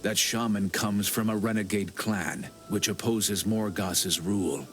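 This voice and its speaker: A man playing an assured, knowing counsellor in a dark Arthurian video game, speaking in measured, assertive explanations. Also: lowish voice, fairly even intonation. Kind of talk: scripted video game dialogue. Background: music under the voice.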